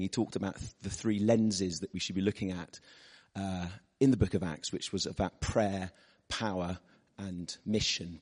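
Husky-voiced man preaching in a hall. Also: lowish voice. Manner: chatty, storytelling, asks the audience. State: hoarse from losing his voice. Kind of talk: sermon with personal stories. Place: church hall. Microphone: microphone. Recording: reverberant church hall.

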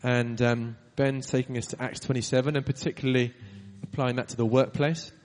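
Man praying in a flat, even tone. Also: mid-range voice, fairly quick. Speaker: church leader introducing the speaker. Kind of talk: introduction and spoken prayer. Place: church hall. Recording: reverberant church hall.

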